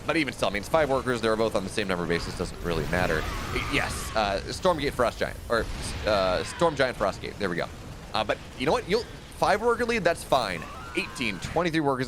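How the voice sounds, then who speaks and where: A man casting a strategy game live, talking casually with his chat between plays and often restarting his sentences. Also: background noise. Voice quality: deep radio-style voice. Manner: conversational, rambling asides with frequent restarts. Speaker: esports caster.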